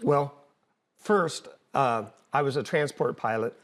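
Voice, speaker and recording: An older man, an interview guest, explaining things conversationally in a plain, halting way with repeated words. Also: medium pitch, expressive. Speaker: interview guest, former air traffic controller and pilot.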